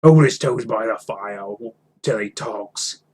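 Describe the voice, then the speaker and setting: A man's voice: on the low side, takes it slowly, darkish tone, husky. A man voicing a big, surly, suspicious troll with coarse, rustic, slangy speech in a storybook reading. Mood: surly, threatening. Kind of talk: storybook character voice.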